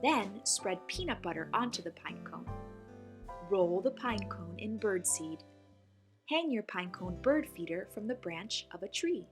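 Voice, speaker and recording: A woman reading a picture book aloud for young children, with expressive, clearly phrased delivery as the host. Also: mid-range voice, measured pace, soft background music. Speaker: children's story reader and host.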